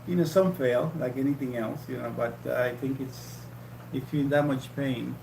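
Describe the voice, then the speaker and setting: A man hosting a casual livestream chat, talking in a halting, rambling way with many restarts. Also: mid-range voice, steady tone, vocal fry, recorded from a distance. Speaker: livestream host. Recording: echoey room with faint hum.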